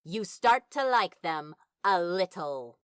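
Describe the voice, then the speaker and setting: A woman plays a sardonic, foul-mouthed modern friend in an audio drama, blunt but grudgingly fond. The delivery is a slow, sarcastic drawl that swings up and down with emphasis. The voice is sharp and closely, dryly recorded. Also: lowish voice, expressive, clean tone, no echo, studio quality. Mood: grudging fondness. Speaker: character voice actor. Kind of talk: fan fiction audio drama dialogue.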